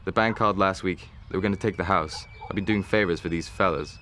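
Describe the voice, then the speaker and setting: A deep, bright-toned man in trouble, as an actor plays him, blustering and dodging questions. His voice sits low but carries a forceful edge, with a faint hiss behind it. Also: fast talker. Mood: desperate. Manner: blustering, then pleading. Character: laid-off man in debt, evasive, desperate. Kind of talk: amateur film voice acting.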